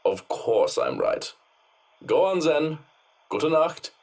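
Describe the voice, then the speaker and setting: An actor playing a stoic, formal head butler and former doctor, speaking in a clipped, dry, condescending manner. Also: male voice, a little high, huge pitch swings, slow pace, vocal fry, a little mellow, full character voice. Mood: dryly dismissive.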